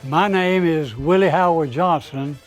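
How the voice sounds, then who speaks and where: A man, slowly recalling his hometown's past, pausing to remember names. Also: on the high side, soft background music. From Florida. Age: 90s. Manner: slow, recollecting, with pauses to recall names. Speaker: interviewee. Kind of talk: oral history reminiscence.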